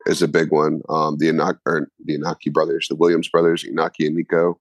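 A man's voice: rumbling low, monotone, fast talker, no echo. A man with an American accent talking informally and colloquially as a co-host in a casual sports discussion. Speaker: podcast co-host and soccer coach.

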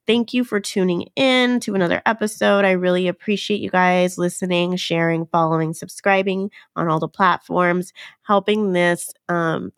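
Nasal, gravelly-voiced woman hosting a podcast. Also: fairly low pitch, no room sound, clean studio sound. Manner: gentle, earnest, many fillers. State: nasal from a cold. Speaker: podcast host. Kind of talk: casual solo podcast talk.

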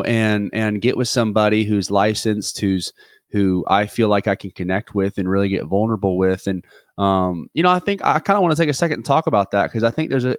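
A man's voice: fairly low pitch, no echo, clean studio sound. A man in his twenties talking through a reflective solo podcast, earnest and rambling, with many restarts and fillers. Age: 20s. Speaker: podcast host.

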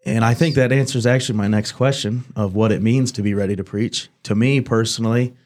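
A man's voice: mid-range voice, steady tone, a little fast, darkish tone. A man in his twenties hosting a church podcast, speaking earnestly and praying aloud. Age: 20s. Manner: earnest, repeats "God" between phrases. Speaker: podcast host. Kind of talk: casual church podcast chat with opening prayer.

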